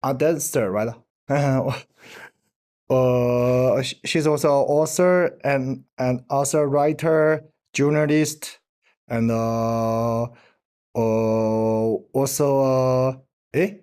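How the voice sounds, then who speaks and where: Halting man searching for words. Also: medium pitch, steady tone, a little mellow, smooth. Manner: hesitant, halting, searches for words with many fillers. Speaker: channel host. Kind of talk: casual interview introduction. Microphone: video call.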